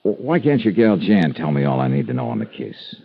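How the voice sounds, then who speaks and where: A world-weary detective in an old radio drama. The voice is low and creaky with lively swings, tough and dryly wry. The recording is muffled with music around it. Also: male voice, brisk, dry recording, exaggerated voice. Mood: concerned. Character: wry, hard-boiled male insurance investigator, tough but caring. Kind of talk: 1950s detective radio drama, narration and dialogue.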